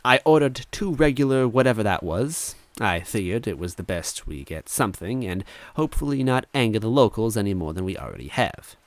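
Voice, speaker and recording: A man with a very low voice reads fiction aloud in the first person. He is conversational and wry, acting out the narrator's thoughts, and the sound is close and dry. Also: sing-song, brisk, few pauses, dry recording. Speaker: story reader.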